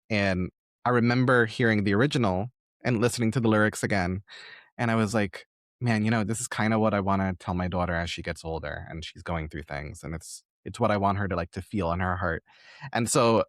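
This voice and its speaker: A man's voice: fairly low pitch, no room sound, clean studio sound. A man hosting a conversational podcast interview, speaking in an earnest, effusive and reflective way. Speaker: podcast host.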